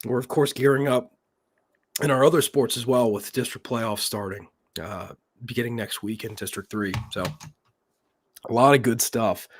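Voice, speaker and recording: A young man co-hosting a live high school football show, talking in a loose, rambling way with many fillers and losing his voice. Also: fairly even intonation, moderate pace, long pauses, slight background noise. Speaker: co-host. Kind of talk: live sports show chat.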